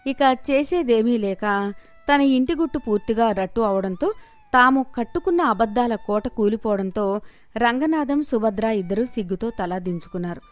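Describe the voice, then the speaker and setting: A woman narrates a scripted moral story as a storyteller. Her voice sits high, and music plays behind her. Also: expressive, normal speed, no echo.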